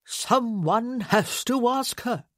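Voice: medium pitch, lively intonation, slow pace, no room sound, clean studio sound, pitched above the performer's own voice. Narrator putting on a fussy, pompous little lord. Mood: insistent. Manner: prattling, insistent, officious. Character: puffy, over-eager, pompous little male lord.

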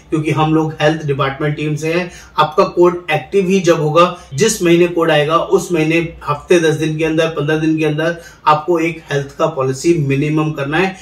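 A middle-aged man hosting a promotional explainer, seated in a studio with a lapel mic and pitching viewers in a persuasive, salesy way. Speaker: insurance agent recruiter, channel host. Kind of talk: direct-to-camera promotional explainer. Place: indoor studio, seated in office chair. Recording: roomy echo, somewhat distant mic.

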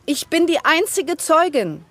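An actress playing a friendly, chatty, flustered kindergarten teacher in a children's audio play. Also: female voice, very high-pitched, sing-song, unhurried, a bit bright, exaggerated voice. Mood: earnest.

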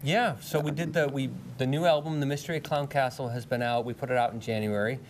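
A man in his thirties answering interview questions in a relaxed, rambling way. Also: mid-range voice, fast talker, slight background noise. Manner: relaxed, many fillers and restarts. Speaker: guest bandleader. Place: TV studio with dark curtains.

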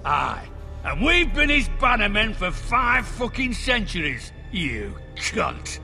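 Nasty blustery game lord, middle-aged, bright forceful voice, sneering, bit of music behind. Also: male voice, sits high, sing-song, slow, gravelly, full character voice. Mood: furious. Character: hostile, foul-mouthed middle-aged lord, gloating and quick to rage. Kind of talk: dramatic video game acting.

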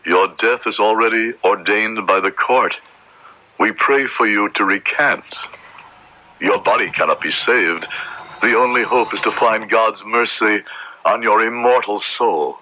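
An actor playing a stern, pitiless Puritan minister in a radio drama, solemn and exhorting in formal speech. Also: male voice, on the low side, unhurried, sharp tone. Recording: dull, noisy old radio drama recording.

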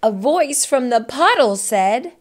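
A middle-aged woman hosting storytime, reading a children's picture book aloud with expressive narration. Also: high voice, slow. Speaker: storytime host.

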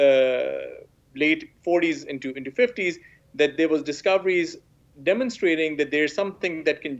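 Pakistani-born man on a muffled video call. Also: on the high side. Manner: measured, hedging with "sort of", frequent restarts. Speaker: guest expert, professor. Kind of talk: explanatory interview talk. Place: small room at home, indoors. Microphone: laptop webcam video call. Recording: dull lo-fi video call audio.

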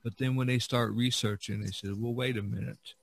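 A man's voice: mid-range voice, steady tone, brisk, vocal fry. A man in his sixties hosting a podcast, giving casual advice in a rambling, hesitant monologue with frequent asides. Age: 60s. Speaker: podcast host.

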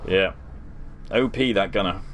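A young man talks easily over his gameplay, answering chat in a relaxed way. His voice sits a little low. Quiet battle sounds hum beneath him. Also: measured pace. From United Kingdom. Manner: chatty, laid-back, reads and answers chat. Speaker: gaming livestreamer. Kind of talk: casual live commentary over gameplay.

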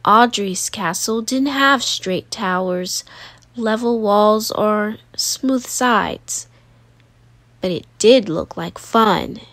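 A woman narrating a children's storybook aloud, expressively and deliberately, with dramatic emphasis on single words. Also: mid-range voice, fairly bright tone, no room sound.

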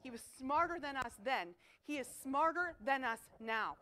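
Animated woman preaching in a school chapel. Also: sits high, moderate pace. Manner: emphatic, animated preaching with rhetorical questions. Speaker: chapel speaker. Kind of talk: chapel sermon to students. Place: school chapel auditorium with stage.

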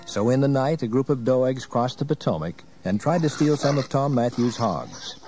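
A man's voice: medium pitch, moderate pace, a little mellow, soft background music. A man narrating a dramatized history from a script, as a storyteller.

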